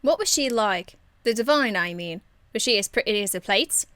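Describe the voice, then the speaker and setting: A woman voicing a cheeky, irreverent young female rogue archer in an amateur game voice demo, blunt, slangy and excitable. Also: medium pitch, moderate pace, no room sound, natural voice.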